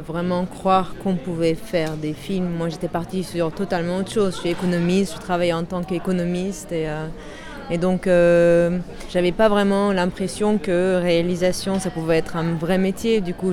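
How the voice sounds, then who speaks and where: Lower-voiced woman, crowd behind. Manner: measured, frequent fillers. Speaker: filmmaker and economist. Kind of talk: interview answers. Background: crowd noise.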